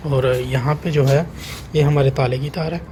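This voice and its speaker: A man's voice: medium pitch, steady tone, moderate pace, dark tone. A man giving a plain, unscripted, step-by-step hands-on tech tutorial as an installer. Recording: clearly noisy room ambience.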